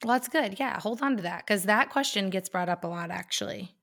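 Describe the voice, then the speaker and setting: A woman's voice: medium pitch, expressive, a little fast, gravelly, fairly bright tone. Upbeat, encouraging woman podcaster. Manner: upbeat and encouraging, with fillers and restarts. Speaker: podcast co-host. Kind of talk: casual chat.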